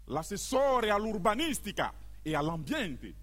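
A man narrating a theatrical monologue on stage, emphatic and deliberate, in short declaimed phrases. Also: high voice, very animated, a bit bright. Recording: long hall reverb, live music behind. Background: live musical accompaniment.

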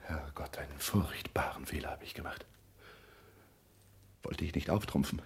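An actor in a 1960s German radio drama playing a pompous, anxious social climber, agitated and scheming, in theatrical declamation. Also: male voice, deep voice, husky, heavily acted. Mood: self-reproachful.

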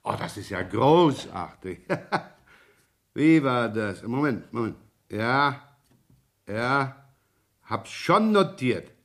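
A wry, rumpled male police inspector in a radio crime play. His medium-pitched voice is casual and teasing, with an easygoing way of putting things. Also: big pitch swings, takes it slowly, pauses often, a bit bright, heavily acted. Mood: brisk, amused. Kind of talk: German radio crime drama acting.

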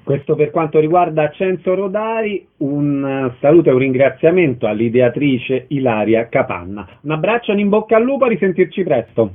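Guy hosting radio interviews, voice a bit high, really thin narrow audio. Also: animated, moderate pace, hardly any pauses. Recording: thin, band-limited radio sound.

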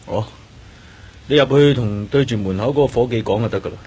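An actor playing a laid-back plainclothes detective in a radio drama, speaking casual, laconic Hong Kong Cantonese with a husky voice. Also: male voice, medium pitch, brisk, mellow. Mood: helpful, offhand.

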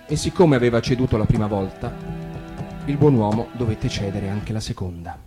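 A man reading a fairy tale aloud on radio in a calm, measured storytelling voice. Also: fairly low pitch. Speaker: reader. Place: radio studio. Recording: guitar music underneath.

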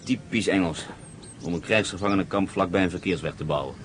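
A man playing a calm, scheming POW. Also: low voice, brisk, a little hiss or hum, lightly acted. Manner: calm, calculating. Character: cunning German POW escape planner. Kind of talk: radio play acting.

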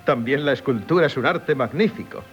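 An actor with a Castilian Spanish accent playing a chatty, courteous painter in a Spanish radio drama. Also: male voice, medium pitch, a little fast, soft background music, close to an everyday voice. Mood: chatty, affable. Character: chatty, courteous male painter, long settled in town. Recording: dull, noisy 1970s radio recording.

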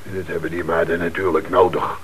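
A lowish male voice as a humble working-class father. He is troubled, hesitant and respectful, in an old radio play with faint noise. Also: flat delivery, fairly quick, a bit bright. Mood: troubled, deferential. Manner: hesitant, humble, plain-spoken. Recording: noisy old radio drama recording.

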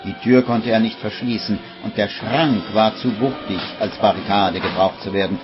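A man acting as grave narrator of a dark tale in a 1950s radio drama, dramatic and suspenseful. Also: fairly low pitch, fairly even intonation, moderate pace, lightly acted. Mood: ominous. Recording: muffled vintage radio recording with music.

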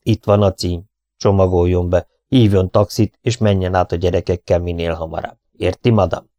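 A man voicing a calm, precise foreign detective, speaking in a measured, soothing way. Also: deep voice, no echo, close to an everyday voice.

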